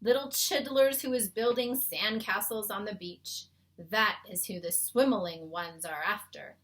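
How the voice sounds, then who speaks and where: A woman's voice: mid-range voice, unhurried, lightly acted. A woman voicing a big, friendly male giant in a children's storybook, earnest and emphatic, with muddled homespun grammar. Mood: eager explaining. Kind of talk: children's storybook read-aloud.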